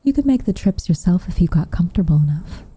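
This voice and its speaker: A woman playing a gentle, wistful witch in an intimate ASMR roleplay, speaking softly and half-whispered, in a measured, tender way. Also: fairly low pitch, lively intonation. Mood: gently teasing. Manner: soft, breathy, tender, measured delivery. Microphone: close microphone.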